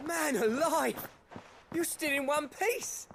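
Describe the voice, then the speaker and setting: A man's voice: extremely high pitch, expressive, slow pace, fairly bright tone, a little hiss or hum, heavily acted. A male actor playing a plain-spoken, chummy fighter in a video game, wry and colloquial, with a working-class English accent. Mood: elated, relieved. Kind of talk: dramatic game acting.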